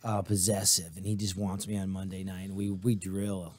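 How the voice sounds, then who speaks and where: A man's voice: low-pitched, level tone, darkish tone. A man from Connecticut, chatting as the guest on a talk show in an upbeat, easygoing way. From Connecticut, USA. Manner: upbeat, chatty, quick to laugh. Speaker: guest drummer and actor. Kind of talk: casual talk show chat.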